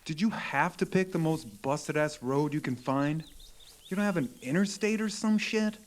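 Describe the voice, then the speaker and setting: A man's voice: on the high side, animated, moderate pace, natural voice. An actor playing a crude, mouthy teenage boy who is vulgar and sarcastic. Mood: mocking. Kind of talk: audio drama acting.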